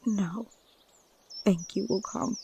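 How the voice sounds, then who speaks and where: A woman playing a devout, gentle healer priestess, solemn and emotional, in a fantasy audio drama. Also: medium pitch, takes it slowly, vocal fry, slight background noise. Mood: subdued.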